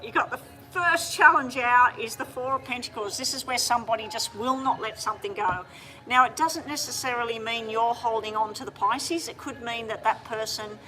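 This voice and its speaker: A woman runs a live tarot stream and talks straight to her viewers, chatty and hurried. Her voice sits a little high, bright and forceful. Faint music plays behind her. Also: low-quality recording. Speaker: tarot reader.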